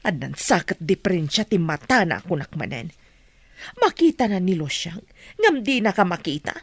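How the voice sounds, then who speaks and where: A woman with an Ilocano accent playing a sharp-tongued middle-aged mother in a radio comedy drama. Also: lowish voice, sing-song, normal speed, husky, no echo, exaggerated voice. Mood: scornful, advising.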